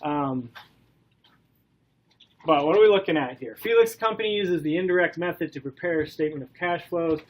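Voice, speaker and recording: A man with a cold and a stuffy nose, giving a classroom lecture in a relaxed way, with many ums and questions to the class. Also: a little high, moderate pace, long pauses. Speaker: college instructor.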